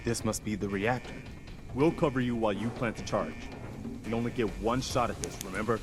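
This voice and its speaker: A man playing a steady, loyal, businesslike soldier in a video game. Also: lowish voice, brisk, close to an everyday voice. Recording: music behind the voice.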